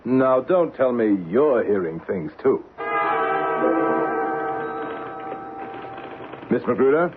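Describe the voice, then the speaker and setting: A man's voice: mid-range voice, animated, normal speed, loud music behind the voice, natural voice. An actor playing a domineering, ambitious, middle-aged businessman and husband in an old-time radio drama, imperious and emphatic. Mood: defiant. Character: domineering, ambitious, philandering corporate boss and husband. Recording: tinny, noisy old radio recording.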